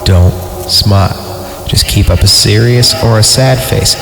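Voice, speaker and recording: A man's voice: fairly low pitch, a little slow, gravelly. A man hosting a podcast, casually reading a creepy story with wry, goofy, mock-dramatic asides. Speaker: podcast host. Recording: roomy sound with loud music behind. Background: loud music.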